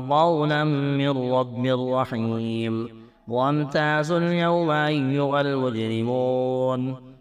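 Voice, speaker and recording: A man reciting scripture in a melodic Quranic chant, measured, with drawn-out verse endings. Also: mid-range voice.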